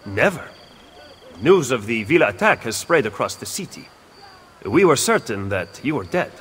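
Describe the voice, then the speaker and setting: A wry, cunning strategist. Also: male voice, medium pitch, big pitch swings, normal speed, fairly bright tone, a little hiss or hum. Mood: cool. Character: cunning, aloof Italian political strategist. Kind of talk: video game cutscene acting. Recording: faint game music behind.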